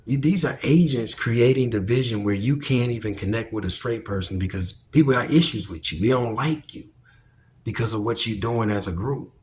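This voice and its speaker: A man giving a blunt, profane, opinionated monologue in a deep, projecting voice. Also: normal speed, darkish tone, a little hiss or hum. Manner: blunt, profane, preachy and emphatic. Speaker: commentary vlogger.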